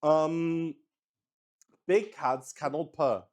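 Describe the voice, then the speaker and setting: Unhurried man thinking aloud, sweary. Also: on the high side, darkish tone. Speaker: solo roleplay player and host. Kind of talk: casual improvised game chat.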